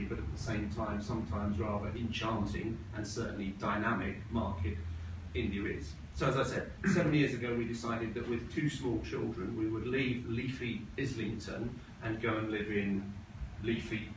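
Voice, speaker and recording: A middle-aged British man presents to a business gathering in a measured, informal way with wry asides. His voice sits fairly low. He is picked up from a distance in an echoing room, with clear background noise. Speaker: presenter, agency co-founder. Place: room at a talk event. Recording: roomy, noisy, distant mic.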